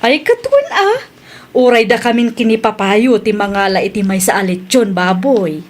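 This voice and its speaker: High-voiced doting Ilocano grandmother. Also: animated, normal speed. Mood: warm, generous. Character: fond, approving mother and doting grandmother. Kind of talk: Ilocano radio drama acting.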